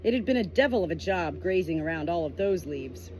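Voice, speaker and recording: A woman reading a storybook aloud in an expressive amateur style, with casual asides, recorded on a phone at home. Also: lowish voice, fairly quick, slight background noise, rough recording. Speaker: amateur book reader, channel host.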